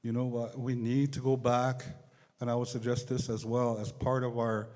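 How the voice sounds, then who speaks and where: A man giving an unscripted public address at an assembly, speaking haltingly and repeating phrases, over a hall sound system. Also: medium pitch, flat delivery, darkish tone. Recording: echoey large hall over PA.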